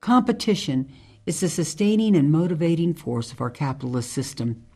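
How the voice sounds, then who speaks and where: Radio drama: tough female ex-military ranger, played by a woman, measured, earnest monologues, low-voiced for a woman. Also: big pitch swings, warm tone, breathy edge, full character voice. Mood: solemn, earnest. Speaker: actor in an amateur radio drama.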